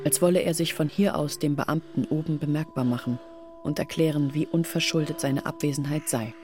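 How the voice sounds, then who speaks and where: An actress playing a grieving young village woman who tells of her family's downfall in an earnest, measured radio drama narration. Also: low-pitched, dark tone, faint music behind, lightly acted. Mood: grieving, weary.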